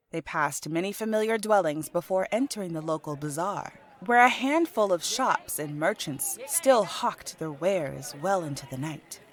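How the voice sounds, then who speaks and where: A woman reading scripted storybook narration in an expressive, unhurried manner. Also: on the low side. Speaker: author and narrator.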